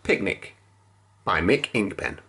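A reader telling a children's picture book aloud in a measured, expressive storytelling manner. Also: sits low, husky.

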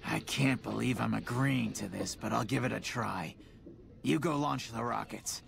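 An actor voicing a reluctant, grudging man in a video game, with a gravelly voice. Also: medium pitch, moderate pace. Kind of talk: video game character dialogue.

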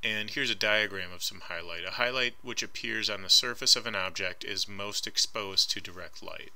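Bright-toned man lecturing over slides. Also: lowish voice, moderate pace. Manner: casual and hedging, frequent ums. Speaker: art instructor. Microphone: voice-over recorded over slides.

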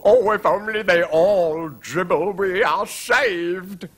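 A flustered storybook king, as a male narrator puts him on, forever exclaiming and complaining. The delivery is forceful and swings widely in pitch, full of dithering exasperation. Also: big pitch swings, measured pace, full character voice. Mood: relieved. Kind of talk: children's storybook character reading.

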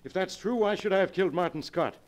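A man's voice: high-pitched, quick pace, natural voice. A man playing an anxious, deferential business associate with a proper manner in a 1940s radio drama. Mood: indignant protest. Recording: noisy old 1940s radio recording.

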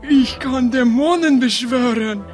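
Woman as eccentric wizard, high pitch, boastful, insistent, fantasy audio drama, music behind. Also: a little slow, darkish tone.

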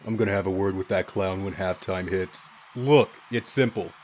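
A man's voice: deep voice, normal speed, a little mellow, natural voice. A man voicing an impatient, blunt, sweary cartoon teammate explaining things, with faint noise behind him. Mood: exasperated. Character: exasperated, sweary male cartoon teammate giving advice.

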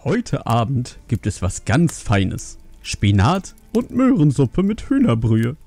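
A man's voice: medium pitch, huge pitch swings, takes it slowly, mellow, no room sound, soft background music, voice pushed up, full character voice. A man voicing a cheerful, proud panda father in a fantasy audiobook, with a jolly, sing-song delivery. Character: cheerful, proud panda father serving his home cooking.

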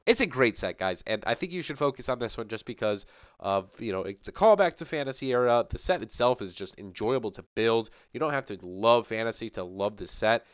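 Young guy on a headset mic, a bit sick, chatty, smooth lowish voice, dry dull sound. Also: expressive, fairly quick, no room sound. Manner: chatty, filler-heavy, enthusiastic. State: sick, voice a little off. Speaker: channel host. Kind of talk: casual product rundown to camera. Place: small home room with gaming chair.

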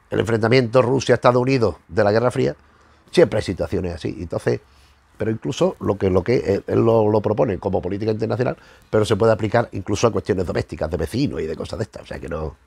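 A man's voice: mid-range voice, gravelly, a little mellow, no echo. A man in his fifties with a Spanish accent from Spain, chatting casually as a review host, often repeating words as he searches for phrasing. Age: 50s. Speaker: host of a retro comics review show. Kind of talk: casual, rambling monologue to camera. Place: small book-lined room at home, indoors.

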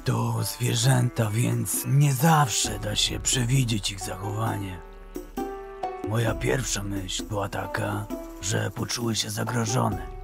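A man acting a calm, seasoned, matter-of-fact hunter in a fantasy audio drama. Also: fairly low pitch, slow, gravelly, heavily acted. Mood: calm, cautioning. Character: calm, seasoned male hunter giving unwelcome advice. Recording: music under the voice.

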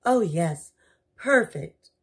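A woman's voice: fairly low pitch, animated, very slow, husky, heavily acted. A woman voicing a particular, commanding storybook queen in a prim, dramatic manner. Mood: delighted.

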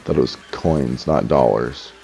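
A young man with a deep voice, reading viewer comments aloud while gaming at home, dry and a little sarcastic, often stammering as he restarts his sentences. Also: unhurried, creaky, warm tone, slightly rough. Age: young adult. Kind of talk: casual commentary while playing. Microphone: headset. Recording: slightly dull lo-fi audio.

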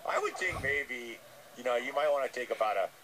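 A man's voice: mid-range voice, lively intonation, brisk, close to an everyday voice. A man voicing a nervous, earnest, dim-witted llama who hesitates and repeats himself on a comic radio call. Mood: apologetic, rambling. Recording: noisy re-recording of radio playback.

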